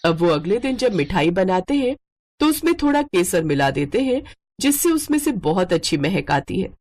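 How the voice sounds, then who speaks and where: A woman narrating a children's moral story in an expressive scripted storytelling style. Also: fairly low pitch, moderate pace.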